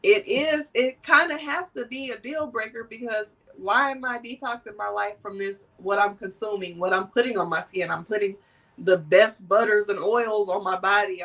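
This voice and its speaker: A woman's voice: medium pitch, a bit bright, recorded from a distance, poor audio. A woman hosting a casual conversation, a little nasal from a cold and laughing often. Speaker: podcast host.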